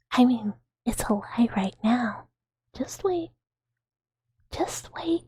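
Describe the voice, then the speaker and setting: A woman in her thirties whispers close to the microphone, her voice airy and husky and sitting a little high. She rambles through casual stream chat with frequent giggles. The sound is dry and close. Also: animated, slow, a little mellow, no echo. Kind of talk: whispered livestream chat reading comments.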